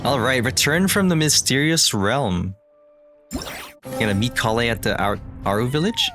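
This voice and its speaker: A man streaming a game, playful and giggly, thinking out loud as he goes. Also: medium pitch, very animated, moderate pace, music in the background. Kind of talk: casual gameplay chat.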